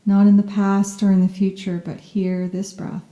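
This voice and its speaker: A soft-spoken woman guiding a yoga nidra meditation in a gentle, soothing and unhurried manner. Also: medium pitch, monotone, roomy sound, poor audio. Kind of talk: guided meditation, scripted narration.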